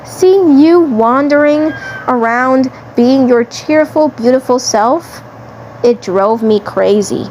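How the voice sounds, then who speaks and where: Girl doing obsessed alien commander guy, gloating n menacing, kinda high voice, real slow, bit of noise. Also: darkish tone, low-quality recording. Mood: obsessive. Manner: gloating, repeats phrases.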